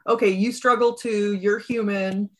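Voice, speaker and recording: Casual woman host, mid-pitched voice. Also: fairly even intonation, a little slow, fairly bright tone. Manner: casual, uses "like" a lot. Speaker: podcast host. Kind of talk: conversational podcast interview.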